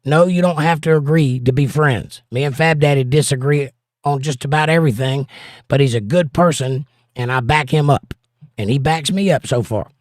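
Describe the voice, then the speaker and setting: Man, hoarse voice, slightly high pitch, folksy livestream host, dry close mic. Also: moderate pace, dry recording. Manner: folksy, plain-spoken advice. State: hoarse, voice partly lost. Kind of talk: casual livestream chat, reading viewer comments.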